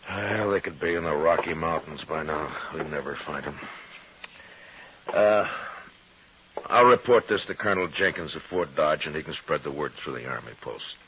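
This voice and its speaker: An actor playing a stern, steady American frontier marshal, laconic and authoritative, in old radio western style. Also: male voice, slight background noise. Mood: grave, questioning. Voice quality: deep, authoritative. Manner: measured, understated. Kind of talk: 1950s radio western acting and narration. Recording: muffled vintage radio recording with music.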